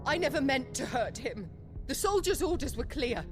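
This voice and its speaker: An imperious noblewoman of middle age in a video game drama, obsessed with blood and rank. Her voice sits high, with formal, theatrical diction and bitter pride, over a clear musical score. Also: very animated, moderate pace, soft background music. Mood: grieving. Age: middle-aged.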